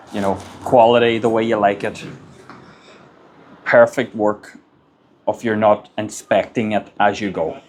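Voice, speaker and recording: A middle-aged Irish man with a low voice talks through hard-won business lessons in a plain, casual way. He repeats words as he works out what to say next. Faint ambient noise sits under the recording. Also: a little mellow, poor audio. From Ireland. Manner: plain-spoken, repeats words while gathering thoughts. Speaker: construction business owner. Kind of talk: casual advice monologue.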